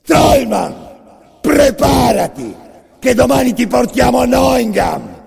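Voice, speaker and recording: An actor playing a brutal Nazi SS officer in a radio theatre monologue, barking drawn-out commands. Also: male voice, extremely high pitch, sing-song, gravelly, darkish tone, slightly rough, shouting, voice pushed up, heavily acted. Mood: furious, commanding. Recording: long hall reverb.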